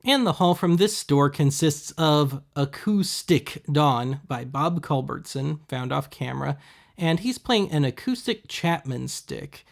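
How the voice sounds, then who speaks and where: Male host, somewhat higher-pitched with plenty of vocal fry, sardonic, casual voice-over commentary. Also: expressive, a little slow. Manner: wry, sarcastic. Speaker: host of a thrift-shopping video series.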